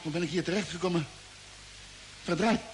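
An actor playing a bewildered, agitated astronaut lost on a strange planet, halting and questioning, in a Dutch radio drama. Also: male voice, high-pitched, over loud music, natural voice. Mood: confused, agitated.

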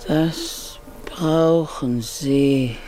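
An actor playing a grave, weary, fatalistic companion in a 1950s German radio play, speaking in solemn, portentous phrases. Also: male voice, fairly high pitch, extremely slow, mellow. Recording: 1950s radio production with music behind.

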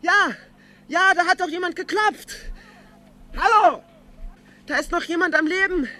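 Radio play rescuer: desperate, exclamatory, piercingly high and bright, slightly noisy old recording. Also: male voice, a little slow. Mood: urgent, agitated. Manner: urgent, exclamatory calling. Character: frantic avalanche rescuer calling out for buried survivors.

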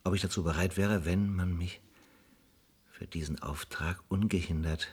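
A former police sergeant haunted by guilt in a radio drama. The voice is very low and thickly husky, and he broods haltingly over his words. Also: steady tone. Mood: brooding. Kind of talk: German radio play acting.

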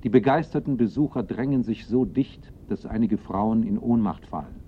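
Male storyteller, radio play. Lowish pitch, scripted dramatic delivery, slightly dull sound. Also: moderate pace, slight background noise. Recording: slightly muffled radio recording.

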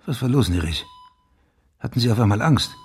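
An actor playing an insistent senior policeman who also narrates a radio thriller, measured and intense. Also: medium pitch, expressive, darkish tone, slightly rough. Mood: concerned. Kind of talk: radio drama dialogue and first-person narration.

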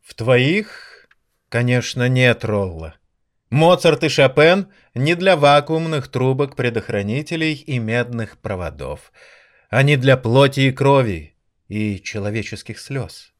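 A cultured maestro of the piano in an audio drama, acted by a man, condescending and passionate. His medium-pitched voice moves in wide, lively swings as he lectures with theatrical irony. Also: a little slow, close to an everyday voice. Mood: wry, indignant.

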